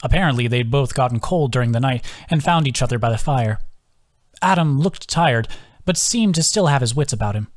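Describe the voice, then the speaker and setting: A man's voice: medium pitch, expressive, fairly quick. A man reading his own fantasy novel aloud as scripted audiobook narration.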